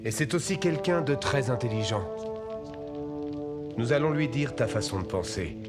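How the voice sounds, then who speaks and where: Casting: man as elderly cartoon chief, mid-pitched, dignified, measured, loud music underneath. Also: animated, close to an everyday voice. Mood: stern. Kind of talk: French animated film dub.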